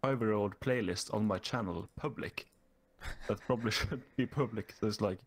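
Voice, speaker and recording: Low-voiced young Norwegian man. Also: normal speed, husky. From Norway. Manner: hesitant, many fillers and restarts. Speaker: guest YouTuber. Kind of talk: casual interview chat.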